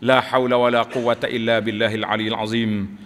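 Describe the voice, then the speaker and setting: A middle-aged man with a Malaysian Malay accent giving a declamatory Friday sermon from a mosque pulpit, slowing down to stress key words. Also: mid-range voice, level tone, a bit bright. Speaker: Friday preacher. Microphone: two pulpit microphones on stands. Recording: long reverb of a large mosque hall.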